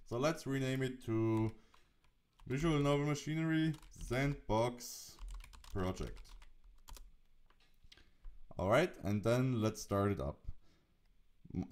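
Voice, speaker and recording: A man narrating an instructional software tutorial, explaining steps as the presenter. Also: mid-range voice.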